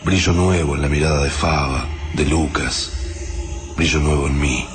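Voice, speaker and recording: Grave Argentine survivor, extremely low voice, reflective literary delivery, music underneath. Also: male voice, steady tone, normal speed. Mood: brooding, reflective. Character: Juan, grave introspective family man narrating catastrophe. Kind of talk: radio drama acting, literary monologue.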